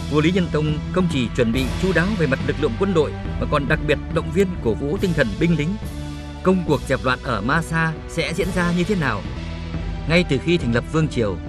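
A man delivers measured, formal documentary narration. His voice sits a little high, and music plays clearly behind it.

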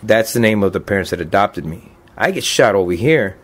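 A man's voice: on the low side, animated, a little fast. A man in his fifties, a deported veteran, speaking plainly and earnestly about his life in a documentary interview. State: choked up.

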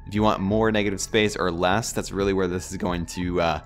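A low-pitched man narrates a screen tutorial while sick, his voice nasal and cracking from a sore throat. He rambles in a relaxed, filler-heavy way, with faint music under him. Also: a little fast. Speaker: tutorial host. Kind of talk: casual screen-recorded software tutorial.

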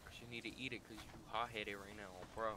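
An American man roleplaying in an online crime game, chatting casually in street slang on a quiet, distant voice chat. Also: on the low side, flat delivery, quick pace, noisy background.

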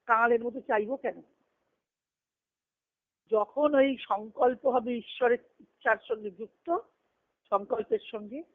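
A woman giving an earnest, emphatic devotional discourse over a conference phone line, reciting scripture and verse and pausing between short phrases. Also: a little high. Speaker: spiritual discourse speaker. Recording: tinny band-limited conference phone call.

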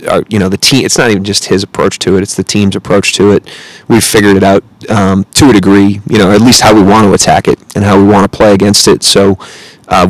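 A man in his thirties, a head coach answering questions at a post-game press conference, measured and analytical with frequent fillers, speaking into a close table mic. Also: on the low side, no room sound, rough recording. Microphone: table mic close in front.

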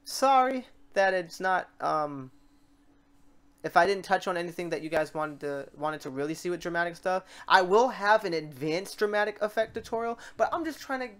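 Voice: medium pitch, expressive, moderate pace, a bit bright. A tutorial host narrating a screen recording in a casual, rambling way, thinking aloud while working through the steps.

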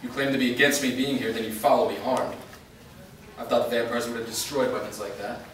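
A man's voice: mid-range voice, fairly even intonation, fairly quick, fairly bright tone, lightly acted. A man playing a confident, blunt half-vampire hunter in a staged play reading, terse and challenging. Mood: wary. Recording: echoey room, far mic, faint noise.

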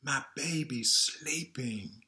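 A man reading aloud as a mother who hushes noisy animals, in a sing-song rhyming chant. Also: medium pitch, slow. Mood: soothing chant. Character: gentle mother hushing animals near her baby.

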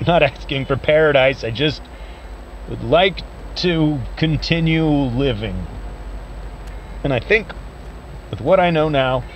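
An actor playing a weary, resigned man recording a last message in an audio drama, halting and wry. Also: a little high, animated, low-quality recording. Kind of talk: found-footage audio drama monologue. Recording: faint background noise.

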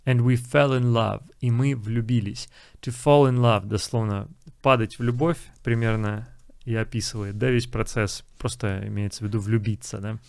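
Young man teaching with gravelly tone. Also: mid-range voice, fairly even intonation, moderate pace, a little hiss or hum. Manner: explains words, repeats English phrases. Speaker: English teacher. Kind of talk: casual lesson explaining film dialogue.

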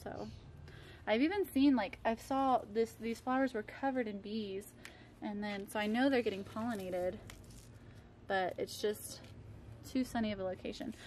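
High-voiced woman chatting outdoors over noise. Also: normal speed, a little mellow. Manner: chatty, affectionate talk about plants. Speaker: home gardening vlogger. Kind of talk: casual garden tour narration. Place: outdoors in a home backyard garden. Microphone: handheld camera. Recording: clear background noise outdoors.

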